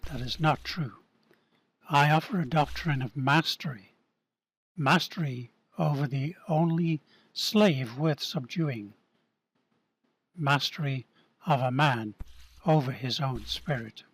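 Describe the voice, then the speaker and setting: A man voicing an earnest male preacher in an amateur radio play, speaking slowly and deliberately. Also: medium pitch, fairly bright tone, breathy edge, exaggerated voice, rough recording. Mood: earnest, preaching. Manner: slow, deliberate sermon-like delivery. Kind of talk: amateur radio drama reading.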